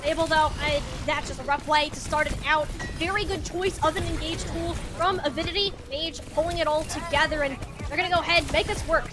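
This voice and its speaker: Really high voiced girl doing live esports play by play, music clearly under it. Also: steady tone, fairly quick, hardly any pauses. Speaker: esports commentator.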